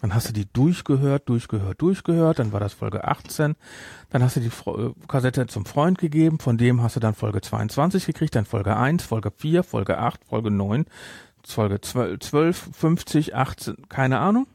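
A middle-aged man hosts a casual podcast in a gravelly voice. He rambles and repeats words for emphasis, and the sound is very dry with hardly any room to it. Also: medium pitch, lively intonation, normal speed, darkish tone, dry recording. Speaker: podcast host.

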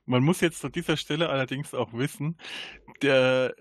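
Mid-pitched man in dry podcast sound. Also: fairly even intonation, normal speed, no room sound. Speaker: podcast co-host. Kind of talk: casual podcast chat.

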